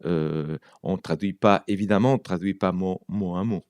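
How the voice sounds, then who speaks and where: A man's voice: sits low, moderate pace, darkish tone, no echo, clean studio sound. A man from Argentina, a native Spanish speaker with an Argentine accent, chatting in an interview and often searching for words. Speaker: writer and translator, interview guest. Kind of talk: conversational interview, telling anecdotes.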